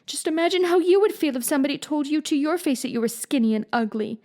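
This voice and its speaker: A woman's voice: high voice, fast talker, warm tone, pitched above the performer's own voice, lightly acted. A woman voicing a fiery, imaginative young orphan girl who speaks in an impassioned, pleading way.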